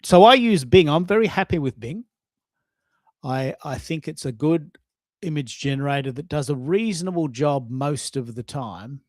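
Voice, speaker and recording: A man with a fairly high-pitched voice hosts a casual live audio show. The sound is dry, with no room echo. He thinks aloud, stumbles and repeats phrases while speaking to his listeners. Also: expressive, a little mellow. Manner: halting, repeats himself, talks to listeners.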